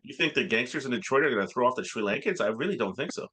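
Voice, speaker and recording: A man co-hosting a comedy podcast, telling rambling stories in halting bursts full of filler sounds. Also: mid-range voice, expressive, bright, forceful tone, breathy edge. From Canada. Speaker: podcast co-host. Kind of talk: casual comedic chat.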